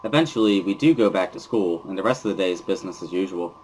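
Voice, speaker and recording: A man's voice: low voice, lively intonation, quick pace, a little mellow, a little hiss or hum, rough recording. A man reading dramatic first-person narration from a visual novel in a wry, dry way. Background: music.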